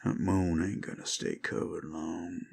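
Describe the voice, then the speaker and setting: A man voicing a menacing cowboy outlaw turning werewolf in an audio roleplay, with a Southern accent and a strained, growling, breathy drawl. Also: low-pitched, flat delivery, measured pace, a little hiss or hum, pitched below the performer's own voice, full character voice. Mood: strained, ominous. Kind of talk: dramatic audio roleplay spoken to the listener.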